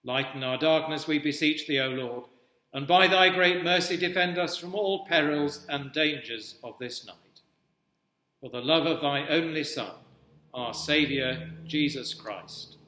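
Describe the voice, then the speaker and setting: A man in his sixties, an Anglican priest, reading traditional prayers in a solemn, measured, formal way in an echoey church. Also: a little high, sharp tone. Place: indoors at a wooden-panelled cathedral altar. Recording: echoey church with long reverb.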